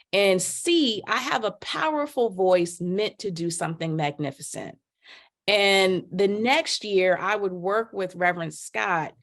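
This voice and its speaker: A middle-aged woman telling her story as a podcast guest, lively, acting out quotes with big jumps in pitch. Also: gravelly. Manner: animated, voices quotes with big pitch leaps. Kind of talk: storytelling in casual chat.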